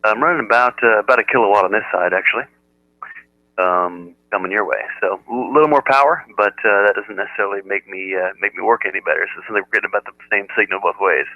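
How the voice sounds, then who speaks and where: American guy chatting on ham radio, low voice, thin narrow band sound, stops and starts a bit. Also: fast, no echo. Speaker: amateur radio operator. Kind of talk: casual ham radio chat.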